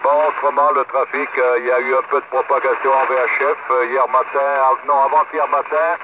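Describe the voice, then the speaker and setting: Through loud noise and dull, lo-fi sound, a man talks as a ham radio operator. He chats casually and a little hesitantly, often tagging his phrases. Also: medium pitch, flat delivery, a little fast. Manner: chatty, hesitant, with frequent "hein" tags.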